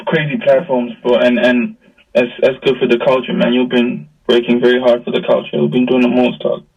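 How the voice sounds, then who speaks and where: Husky man, distant on a call. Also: medium pitch, lo-fi sound. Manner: slangy, hyped. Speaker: musician guest. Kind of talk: casual chat. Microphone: video call.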